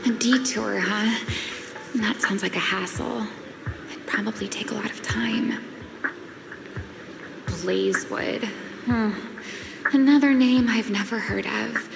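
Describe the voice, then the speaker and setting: A woman playing a wistful, calmly stubborn traveler in a video game, with a languid, musing manner. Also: on the high side, slow pace, long pauses, noisy background. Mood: musing, resolved. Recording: roomy sound with clear music behind.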